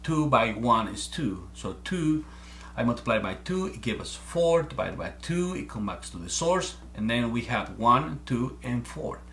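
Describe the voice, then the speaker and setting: A man's voice: mid-range voice, sing-song, measured pace, fairly bright tone, a little hiss or hum. Earnest man with a Mexican accent. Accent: Mexican Spanish. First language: Spanish. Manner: earnest, repeats words while thinking. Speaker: amateur math presenter. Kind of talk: explaining step by step to camera. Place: small room at home, indoors.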